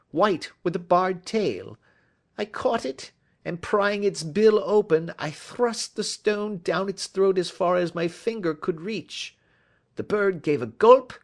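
Brief: male reader as a desperate young thief, pleading and agitated, lively pitch. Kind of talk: audiobook reading.

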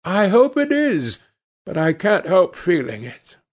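A man voicing a troubled, melancholy young gentleman in an audiobook, plaintive, earnest and polite. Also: sits high, huge pitch swings, unhurried, pitched above the performer's own voice, exaggerated voice. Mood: sad, anxious.

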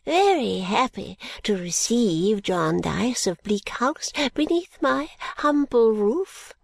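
Woman putting on a fluttery, high old lady. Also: sing-song, vocal fry, dry recording, exaggerated voice. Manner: high, slow, stately and confiding, drops to whispers. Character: fluttery elderly eccentric lady, genteel and nervous.

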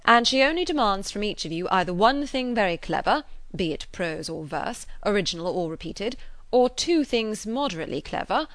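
Woman reading as a lively, impudent young gentleman. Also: medium pitch, moderate pace, no echo. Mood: grandly announcing. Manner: teasing, theatrical, mock-formal.

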